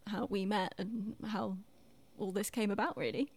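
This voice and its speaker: A woman's voice: mid-range voice, fairly even intonation, normal speed, gravelly, a little mellow, slightly rough. A young woman hosting a casual arts chat, speaking informally with self-deprecating hedges, close to the microphone. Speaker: podcast host, theatre director.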